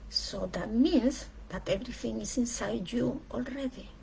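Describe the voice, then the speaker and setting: Female speaker, for a reflective spiritual talk; unhurried delivery in short weighted phrases, gravelly creak in the voice. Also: a little high, a little mellow, slight background noise. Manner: deliberate, emphatic phrasing in short pieces with pauses.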